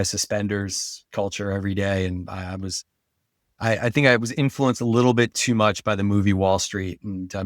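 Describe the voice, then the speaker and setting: A man's voice: on the low side, no room sound, clean studio sound. A middle-aged man speaking casually as an interview guest, making his points emphatically and repeating phrases. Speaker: podcast guest, brand marketing expert.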